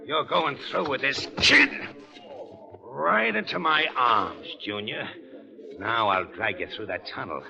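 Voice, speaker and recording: An actor playing a ruthless, cocksure lifer convict in an old radio crime drama, bossy, slangy and tough. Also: male voice, medium pitch, takes it slowly, vocal fry. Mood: menacing. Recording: muffled old radio recording, crowd noise.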